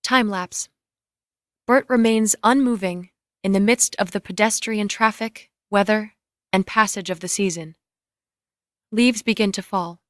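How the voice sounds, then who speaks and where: A woman's voice: mid-range voice, unhurried, long pauses, dry recording, studio quality. A woman reading scripted, screenplay-style narration and stage directions.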